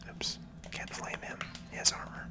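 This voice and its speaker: A person whispering gentle, unhurried, conversational ASMR commentary while playing a video game, close to the microphone. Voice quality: soft breathy whisper with creaky edges.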